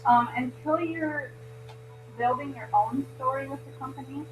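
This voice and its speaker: A roomy, noisy recording carries a woman's fairly high voice as she leads an informal training. She talks in a plain-spoken, encouraging way, like a trainer walking newcomers through the basics. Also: moderate pace. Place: room with a group present. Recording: roomy, distant mic, clear background noise.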